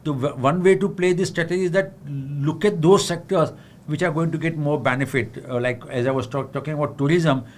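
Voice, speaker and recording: A middle-aged Indian man with a fairly high voice explains market views to viewers in a frank, unpolished way. He stumbles over fillers and restarts, keeps asking "am I right", and now and then slips into Hindi. Faint background noise sits under his voice. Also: brisk. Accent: Indian English. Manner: leans on tag questions and switches into Hindi. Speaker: market analyst answering viewer questions. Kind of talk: livestream Q&A on the budget.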